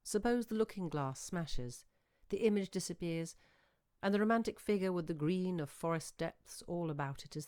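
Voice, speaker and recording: A woman reading a literary short story aloud in a reflective, deliberate way, with expressive pauses and emphasis. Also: low voice, no echo, studio quality. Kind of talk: expressive literary audiobook narration.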